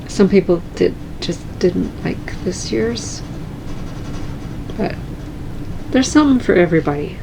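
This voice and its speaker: A woman chatting casually and calmly in her cross-stitch vlog at home, soft-spoken and unhurried. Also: lowish voice, slight background noise, low-quality recording. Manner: calm, slow and soft-spoken. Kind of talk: casual chat.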